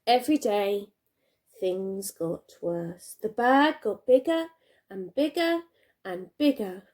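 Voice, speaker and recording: A woman reading a children's storybook aloud like a primary teacher, expressively, with dramatic pauses and emphasis. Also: mid-range voice, expressive, a little mellow.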